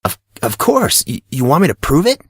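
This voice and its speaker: A man's voice: fairly high pitch, wildly swooping pitch, moderate pace, breathy edge, no room sound, studio quality, voice pushed up, full character voice. A man voicing an awkward, self-doubting teenage boy in an audio drama, stammering and exasperated. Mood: flustered. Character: awkward, flustered, self-doubting fourteen-year-old high school boy. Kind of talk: audio drama character acting.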